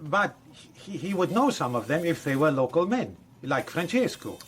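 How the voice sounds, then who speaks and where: An actor playing an earnest, matter-of-fact Italian police officer in a radio drama, speaking deliberate, slightly stilted English. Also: male voice, on the high side, big pitch swings. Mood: earnest, explanatory. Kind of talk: naturalistic radio drama acting.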